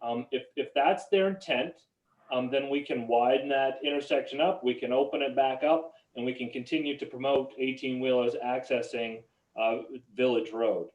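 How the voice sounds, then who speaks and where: A man explaining a road design to a town meeting in a measured way, pausing on frequent ums. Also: medium pitch, fairly even intonation, a little mellow. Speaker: project engineer. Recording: roomy, distant sound.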